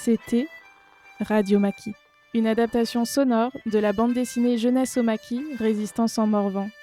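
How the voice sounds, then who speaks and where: A woman's voice: on the high side, fairly even intonation, normal speed, dark tone, soft background music. A woman reading scripted closing credits as an announcer. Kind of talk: scripted narration.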